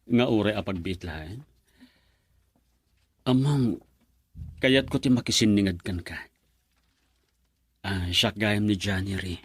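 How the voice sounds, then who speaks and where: An actor playing an earnest man who calmly and persuasively asks to talk with a young woman. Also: fairly low pitch, slow pace, pauses often, husky, dry recording. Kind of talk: radio drama acting.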